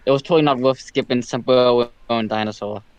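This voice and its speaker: A man's voice: mid-range voice, fast, smooth, no room sound. A man making casual comments as a guest in a group chat. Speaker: guest commentator on a story reading.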